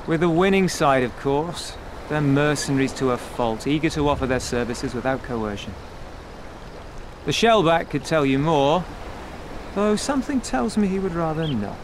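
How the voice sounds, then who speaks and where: An actor playing a cynical, world-weary pirate in a video game, speaking in a wry, measured way. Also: male voice, fairly high pitch, animated, background noise, natural voice. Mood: wry, guarded.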